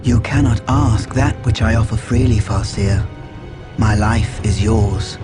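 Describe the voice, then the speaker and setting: An actor playing a solemn, devoted alien warrior in a game cutscene. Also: male voice, on the low side, unhurried, lightly acted. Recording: loud music behind.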